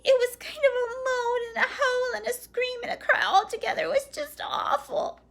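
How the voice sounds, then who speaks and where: A woman voicing a frightened, excitable little girl who shrieks and pleads near tears. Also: moderate pace. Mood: terrified. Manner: shrill, breathless, near tears.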